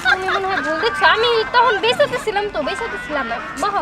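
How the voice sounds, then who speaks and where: A woman's voice: very high voice, animated, quick pace. A woman voicing a sly young woman in love, coaxing and evasive in a Bengali comedy cartoon. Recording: distant voice under loud music and crowd.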